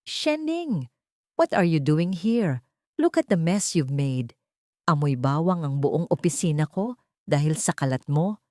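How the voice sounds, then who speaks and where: Woman narrator as arrogant cheating husband, scornful, dismissive, lively pitch swings, audio drama. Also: unhurried, dry recording, clean studio sound. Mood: irritated.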